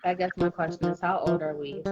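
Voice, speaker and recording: A woman's voice: on the low side, fairly even intonation, fairly quick, a little mellow, dull audio, soft background music. A woman in her late twenties on a group video call, playful and giggly, asking the others questions. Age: late 20s. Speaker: guest.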